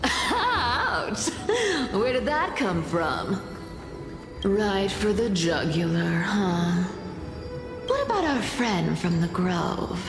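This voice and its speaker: A woman's voice: medium pitch, huge pitch swings, very slow, exaggerated voice. A woman voicing a playful, brash female thief with sly, drawling teasing in a fantasy game. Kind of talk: dubbed fantasy game dialogue. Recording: long reverb with loud music.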